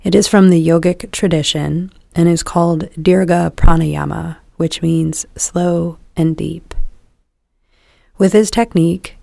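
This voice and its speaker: A woman guiding a meditation in a calm, soothing, measured delivery, letting her phrases end softly. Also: low-pitched, creaky, no echo. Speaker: meditation guide. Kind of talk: guided meditation narration.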